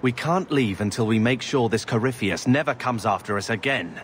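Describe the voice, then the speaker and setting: An actor playing a grave, concerned adventurer companion in a fantasy video game. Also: male voice, medium pitch, moderate pace, slight background noise, lightly acted. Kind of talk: dramatic fantasy game acting.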